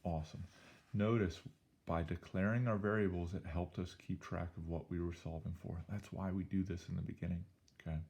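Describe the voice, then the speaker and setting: A male math teacher, slightly hoarse, talking through an algebra problem in an upbeat, playful way with jokey exclamations. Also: low voice, steady tone, a little fast, darkish tone. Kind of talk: recorded algebra lesson walkthrough.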